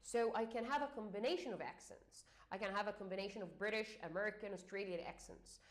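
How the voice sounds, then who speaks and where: A woman's voice: medium pitch, fast. A woman with an Egyptian accent giving a teaching talk, explaining with frequent fillers and restarts. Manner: hesitant, many fillers, repeats and restarts. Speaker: English exam teacher.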